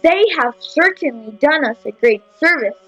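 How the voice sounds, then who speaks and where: A woman's voice: sits high, expressive, normal speed, a bit bright, no echo, faint music behind, rough recording. A woman playing a commanding emperor with a stately, declarative manner in an amateur audio play. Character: Byzantine emperor, commanding male ruler, pleased.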